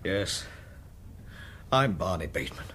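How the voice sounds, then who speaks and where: An actor playing a supposedly dead husband revealing himself in a radio courtroom drama, speaking haltingly and emphatically with a husky voice. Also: male voice, sits low, sing-song, a crowd in the background. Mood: earnest, insistent. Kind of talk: 1980s radio drama acting. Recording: archive radio drama recording.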